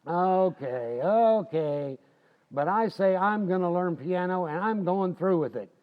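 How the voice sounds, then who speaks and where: Radio comedy: actor as folksy male schemer, high voice, slangy bluster, slightly dull sound. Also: lively intonation, a little slow, clean tone. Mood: cocky, eager. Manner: slangy, cocky, grumbling. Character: folksy, blustering, stubborn married man, a hapless schemer. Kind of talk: old-time radio comedy acting.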